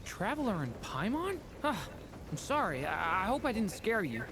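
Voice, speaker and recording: An actor playing a gentle, apologetic young dragon boy in a video game, hesitant and earnest. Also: medium pitch, big pitch swings, moderate pace. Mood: apologetic, worried. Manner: hesitant, soft-hearted, earnest. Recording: clear game ambience noise behind.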